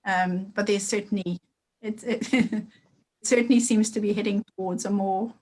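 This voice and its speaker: A middle-aged woman with a Zimbabwean accent, speaking in an online group meeting in a measured, hesitant way with many ums and restarts. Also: mid-range voice, steady tone, darkish tone, rough recording. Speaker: meeting participant sharing an update.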